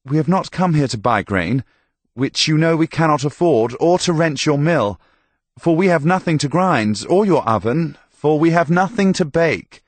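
A man voicing an earnest, courteous medieval priest in an audiobook, formal and measured as he entreats. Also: on the high side, animated, no room sound, voice pushed up. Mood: courteous, entreating.

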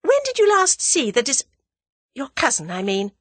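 A woman voicing a lively, impulsive young woman adventurer with an excitable, teasing manner in a storybook reading. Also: high-pitched, moderate pace, no echo, pitched above the performer's own voice, heavily acted. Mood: guarded.

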